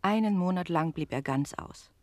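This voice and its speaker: An actress in a German radio play voicing a lively innkeeper woman who tells a tale with articulate, expressive delivery. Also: lowish voice, moderate pace, no room sound, lightly acted. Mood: knowing irony.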